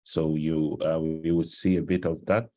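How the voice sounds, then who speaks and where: A man giving an explanatory presentation on a video call, haltingly searching for words. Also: extremely low pitch, little pitch movement, dark tone. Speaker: presenter of a learning module. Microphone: headset on a video call.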